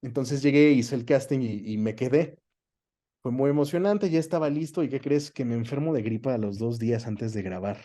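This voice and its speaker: A man with a Mexican accent chatting casually as an interview guest, telling anecdotes in an expressive, storytelling way. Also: medium pitch, moderate pace. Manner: animated anecdote-telling, acts out quoted dialogue. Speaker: guest, voice actor and dubbing director.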